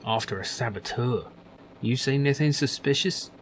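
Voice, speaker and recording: A man voicing a gruff, grumpy older male factory worker, grumbling and dismissive. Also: medium pitch, moderate pace, slight background noise, natural voice, low-quality recording. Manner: grumbling, dismissive, calls others son.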